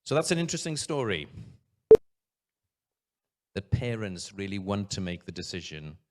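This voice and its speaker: A man, a head teacher giving an earnest conference talk from a stage, pausing often. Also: low-pitched, sing-song.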